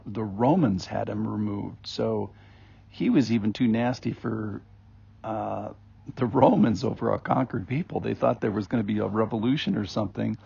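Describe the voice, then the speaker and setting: A man giving an informal daily Bible reflection as a podcast host, rambling and conversational with many ums and restarts, in a gravelly, husky voice. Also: lowish voice, a little mellow. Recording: dull lo-fi recording.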